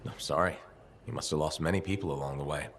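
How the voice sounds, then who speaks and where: An actor playing a steady, frank, reassuring freelancer hero in a video game, speaking in a plain conversational way. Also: male voice, rumbling low, fast, faint music behind. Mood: sympathetic, frank. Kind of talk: video game dialogue acting. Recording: clear ambient noise behind the voice.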